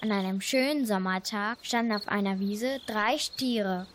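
A woman's voice: mid-range voice, a little slow, slight background noise. A woman reading scripted storybook narration for a children's fable.

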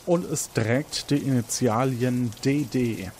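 A man's voice: medium pitch, takes it slowly, a little mellow, a little hiss or hum. A man moderating an interactive audio quiz game as its host.

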